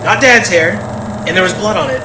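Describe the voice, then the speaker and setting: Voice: mid-range voice, fairly quick, lightly acted. An actor playing an uneasy, doubtful grown child in a naturalistic audio drama, talking in a hesitant, conversational way. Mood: insistent, suspicious. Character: uneasy, doubtful grown child who suspects dad of murder. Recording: roomy, echoey with clear background noise.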